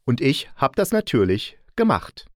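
A man's voice: medium pitch, wildly swooping pitch, unhurried, dry recording, clean studio sound. A man hosting a podcast, giving a casual, chatty spoken review with self-correcting asides. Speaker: podcast host reviewing radio plays.

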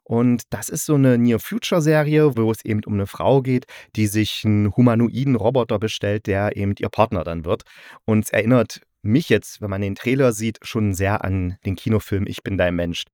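A man's voice: fairly low pitch, moderate pace, a little mellow, no room sound, studio quality. A man hosting a podcast, giving a casual, chatty and enthusiastic review in colloquial language. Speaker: podcast host and radio play reviewer.

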